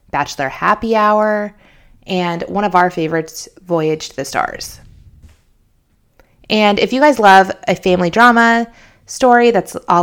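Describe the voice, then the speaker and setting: A woman hosting a podcast, reading a promo in a chatty, informal way. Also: lowish voice. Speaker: podcast host. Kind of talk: casual host-read promo.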